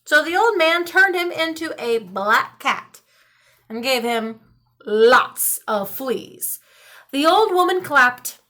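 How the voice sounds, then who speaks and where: A woman reading a children's storybook aloud in an animated narrating style. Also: sits high. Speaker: storybook reader.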